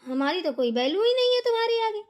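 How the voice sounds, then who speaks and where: A woman's voice: extremely high pitch, sing-song, fast. A woman voicing a domineering older mother-in-law in a family cartoon, scolding and nagging with sarcastic questions. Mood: sarcastic, exasperated. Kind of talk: amateur Hindi family cartoon dialogue.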